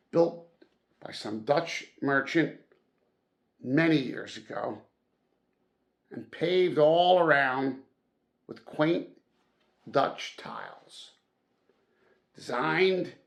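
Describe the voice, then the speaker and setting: A man reading a classic story aloud as a narrator, with deliberate, dramatic phrasing and emphatic stresses. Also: mid-range voice, gravelly. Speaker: audiobook-style reader.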